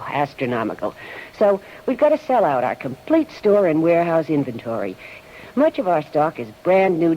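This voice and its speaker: A woman's voice: sits low, mellow, slight background noise. Woman in a dull vintage radio ad. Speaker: store owner in her own commercial. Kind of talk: conversational radio ad read.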